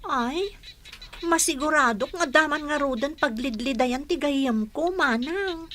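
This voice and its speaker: A woman acting an inquisitive, sympathetic young friend in a radio drama, conversational and expressive. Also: high-pitched, a little slow, a little hiss or hum. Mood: sympathetic, probing.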